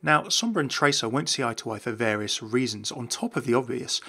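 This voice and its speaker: A man narrating game lore from a script as a video host. His voice is mid-pitched and bright, with a gravelly creak. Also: expressive, fairly quick.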